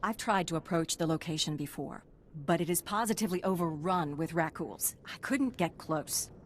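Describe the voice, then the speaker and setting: A woman playing a cautious, businesslike researcher in a video game, speaking in a measured, matter-of-fact way. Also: fairly low pitch, a little hiss or hum, natural voice. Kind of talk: game character dialogue.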